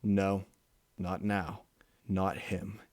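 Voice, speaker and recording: A man's voice: sits low, little pitch movement, very slow, darkish tone, dry recording, clean studio sound, pitched below the performer's own voice. A man as a frightened pleading stallion. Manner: pleading, trembling. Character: frightened stallion fighting his inner beast.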